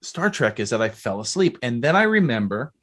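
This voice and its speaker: A man with a medium-pitched voice hosts a casual podcast chat. His delivery is conversational and informal, the way one talks with a guest about shared interests. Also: sing-song, fairly quick, a bit bright. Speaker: podcast host. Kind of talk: casual pop culture chat.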